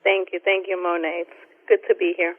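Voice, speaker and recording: A woman's voice: fairly low pitch, flat delivery, a little fast, no room sound. A woman from Rico, a guest on a radio show, speaking earnestly with frequent hesitations over the phone. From Puerto Rico. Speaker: guest, parent advocate. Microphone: telephone. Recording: narrowband telephone line, dry.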